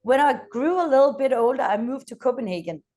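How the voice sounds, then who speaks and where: A woman from Denmark in her thirties with a Danish accent, speaking as an energetic company founder in an interview and pausing often on "uh". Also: high voice. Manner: energetic, frequent "uh" hesitations. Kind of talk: interview conversation.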